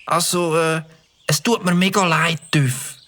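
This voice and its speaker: An actor playing an earnest, good-hearted young schoolboy in a children's audio drama. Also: male voice, on the high side, fairly even intonation, unhurried, bright, forceful tone. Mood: remorseful.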